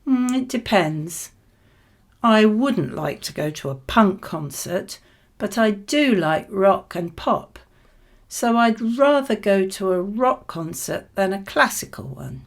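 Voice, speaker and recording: A woman reading model answers for an English speaking exam in a conversational, measured and clearly articulated way. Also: medium pitch, animated.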